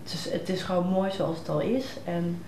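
A woman's voice: fairly low pitch, fairly even intonation, normal speed, dark tone, a little hiss or hum. A woman in her thirties with a Netherlands Dutch accent, giving casual interview answers as a photographer. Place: living room, on a sofa. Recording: roomy echo, noticeable room ambience.

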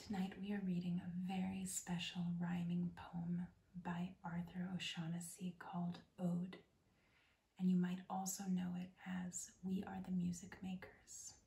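A woman whispers a rhyming poem softly, in an unvoiced hush, at a slow and unhurried pace. Her tone is dark and mellow, with a gravelly edge to it. The recording sits in a roomy, echoey space. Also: lowish voice, monotone. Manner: soft unvoiced whisper throughout. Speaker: host. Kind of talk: whispered ASMR poetry reading.

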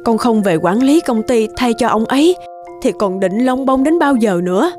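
A woman's voice: a little high, expressive, normal speed, darkish tone, faint music behind, close to an everyday voice. Woman acting a nagging middle-aged mother. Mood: reproachful. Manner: reproachful, insistent. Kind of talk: animated moral-tale voice acting.

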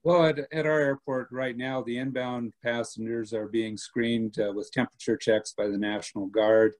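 Measured man on a video call. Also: medium pitch. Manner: measured, steady, many filler "uh"s. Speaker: airport director. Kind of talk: video call interview answers. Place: home room indoors. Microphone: laptop webcam on video call.

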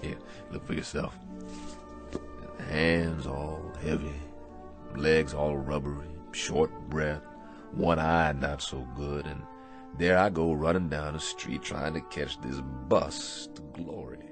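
A man's voice: very deep voice, steady tone, slow pace, heavily acted. A man playing a battered, weary aging boxer in a radio drama, plainspoken and wistful with an American accent. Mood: weary, reflective. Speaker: actor. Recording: radio drama with music underneath.

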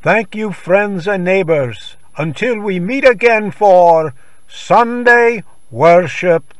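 A man reciting scripture in a solemn, dramatic style, with declamatory and deliberate phrasing, as the reader of a worship service. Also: high voice, no echo.

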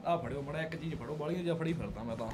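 A man's voice: fairly high pitch, racing. A man, bantering casually as a prank-call vlogger. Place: small indoor gaming room.

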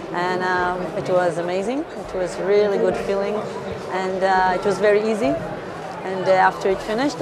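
A woman in her forties from Israel with an Israeli accent, speaking slowly and haltingly with many fillers in an interview about skating. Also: medium pitch. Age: 40s. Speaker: marathon skater. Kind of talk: interview to camera. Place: busy indoor expo hall. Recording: loud crowd and noise behind.

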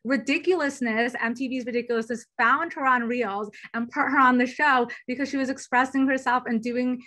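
Bright, enthusiastic woman on a video call. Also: sits high. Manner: upbeat, enthusiastic, quick and lively. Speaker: platform executive guest. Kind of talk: keynote fireside chat over video call. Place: small bedroom indoors. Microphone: laptop webcam video call.

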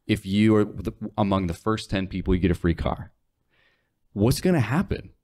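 A man's voice: on the low side, animated, moderate pace, darkish tone. A man in his twenties explaining things conversationally as a podcast guest, on a close studio mic. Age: 20s. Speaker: guest, radio sales associate. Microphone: close studio mic on desk, headphones.

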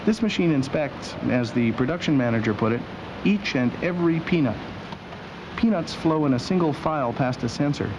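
A man narrating a scripted documentary as host, with a wry, deadpan sense of humor. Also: on the high side. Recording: dull old video soundtrack with machinery noise.

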